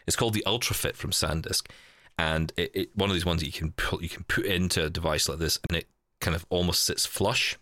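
A man's voice: sits low, fairly even intonation, a little fast, pushed and bright, no echo, clean studio sound. A man hosting a podcast in casual, chatty style, thinking aloud with wry asides. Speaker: podcast host. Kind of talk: casual chat, live unboxing of a gadget.

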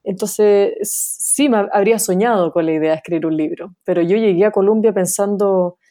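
A woman's voice: mid-range voice, a little fast, warm tone. A woman from Chile, answering interview questions thoughtfully with frequent fillers and restarts. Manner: thoughtful, many fillers and restarts, light laughs. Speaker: guest, sociologist.

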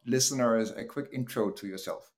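A man's voice: mid-range voice, normal speed. A man hosting a podcast interview, welcoming a guest and asking questions with friendly, hesitant restarts. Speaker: podcast host.

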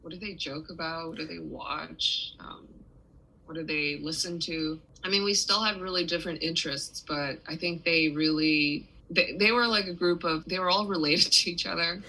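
A woman's voice: low-pitched, fairly even intonation, moderate pace, pushed and bright, breathy edge, low-quality recording. A young adult woman from Taiwan, a musician and interview guest, talking casually with many restarts and fillers.

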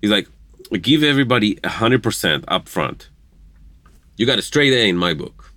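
Israeli guy w a really low voice, earnest podcast chat, dry close sound. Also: sing-song, normal speed, sharp tone, no echo. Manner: earnest, laughing, broken English phrasing. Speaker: podcast guest. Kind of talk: casual conversation.

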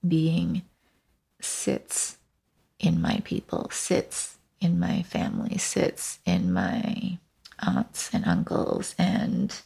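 A reflective woman speaks slowly about her own life, pausing to search for words. Her voice is on the low side and very creaky, with a halting, thoughtful delivery. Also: darkish tone. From Taiwan and western Canada. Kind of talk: reflective personal narrative.

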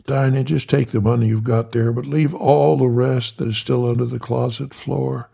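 Guy voicing a nagging scornful wife, shrill and bossy, kinda gravelly. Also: fairly even intonation, normal speed, warm tone. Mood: scheming. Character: scolding sharp-tongued wife, middle-aged woman. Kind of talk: storytelling.

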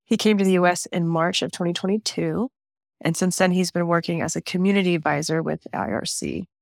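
A woman's voice: fairly low pitch, fairly even intonation, a little fast, vocal fry, no room sound, studio quality. A woman hosting a podcast, introducing her guests in a friendly, conversational way. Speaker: podcast host.

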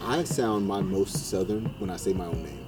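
A man hosts a casual podcast in a relaxed, friendly way, his voice a little low. His accent is an even, neutral American one. Music plays clearly under the talk. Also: moderate pace. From North Carolina. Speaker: podcast host.

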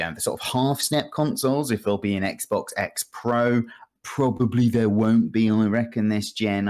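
A British man hosting a casual gaming podcast, rambling and thinking aloud with a wry manner. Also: on the low side.

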